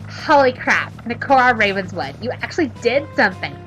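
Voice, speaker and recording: A woman in her thirties reading a visual novel aloud and chatting about it, emphatic while reading and quiet when talking about herself. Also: a little high, sing-song, a little fast, lo-fi sound, faint music behind. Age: 30s. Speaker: let's play streamer reading a visual novel. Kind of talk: reading game text aloud and commenting.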